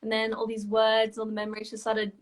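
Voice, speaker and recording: A woman's voice: a little high, monotone, fairly quick. A young woman with an Australian accent giving casual interview answers as a songwriter. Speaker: songwriter, competition winner.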